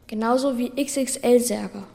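Soft-toned high young child in a hall. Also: female voice, steady tone, measured pace, vocal fry. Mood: matter-of-fact. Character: Bela, sad thoughtful child with a comatose brother. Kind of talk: radio play acting. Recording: long hall reverb.

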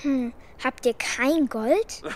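A woman's voice: high voice, slow. A female performer playing a bold, stubborn, cheeky orphan girl in a children's radio play, blunt and bossy. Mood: defiant, blunt. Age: child figure.

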